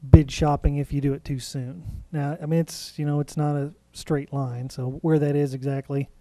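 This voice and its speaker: A man speaking as legal counsel at a public meeting, explaining in a halting, hedging way. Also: a little high, little pitch movement, dark tone, no room sound. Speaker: legal counsel to a city council.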